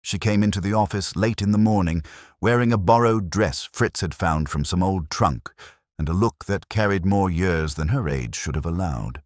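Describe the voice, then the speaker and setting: A man's voice: low-pitched, moderate pace, dry recording, studio quality. A man reading a scripted first-person detective story as its narrator.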